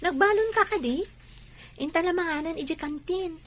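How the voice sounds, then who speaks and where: A woman's voice: extremely high pitch, normal speed, fairly bright tone. A woman with an Ilocano accent playing a polite, caring young student in a radio drama, faint noise behind. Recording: faint background ambient noise.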